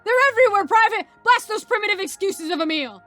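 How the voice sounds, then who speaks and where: A woman voicing a loud, bloodthirsty male sergeant in a space army who barks orders. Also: extremely high pitch, expressive, moderate pace, soft background music, pitched above the performer's own voice. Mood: barking. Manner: barking drill-sergeant shouts.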